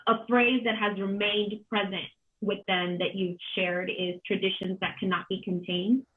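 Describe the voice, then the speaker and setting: A woman in her thirties from Colombia speaking reflectively and haltingly as a panel moderator, with many pauses and repeated words. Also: medium pitch, level tone. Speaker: panel moderator, arts organization director. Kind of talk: reflective, unscripted panel remarks. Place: home kitchen, on a video call. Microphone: computer mic on a video call. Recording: dull, band-limited video call audio.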